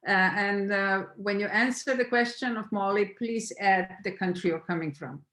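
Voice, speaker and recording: A woman's voice: medium pitch, normal speed, fairly bright tone. A woman hosting an online event, politely stepping in with brief prompts. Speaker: event host. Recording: echoey room.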